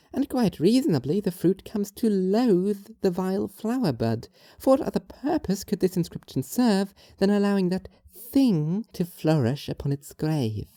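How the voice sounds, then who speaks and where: A woman playing a strange, earnest young philosopher in an audio drama, talking in a formal, measured, literal way. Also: on the low side, dark tone, no echo, clean studio sound. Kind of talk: audio drama monologue and dialogue.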